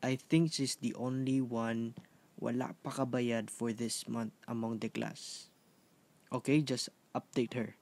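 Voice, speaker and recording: A man's voice: medium pitch, level tone, slow, gravelly, a little mellow. A man with a Filipino accent playing an earnest, courteous teacher who formally instructs an online class in an amateur radio play. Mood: calm, concerned. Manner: formal, instructive, checking with "okay?".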